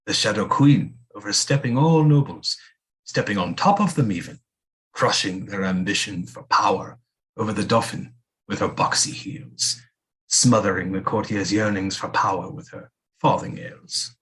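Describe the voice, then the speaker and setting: Man acting as court seer and physician, low pitch, grandiloquent, flattering, theatrical, audio play. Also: moderate pace, natural voice, low-quality recording.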